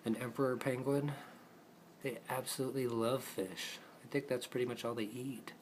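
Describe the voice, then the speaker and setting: A man with a mid-pitched voice narrates a homemade puppet show. He improvises in a playful, casual way, talking to the characters as he tells the story. The amateur recording carries some faint noise. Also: fairly even intonation, normal speed.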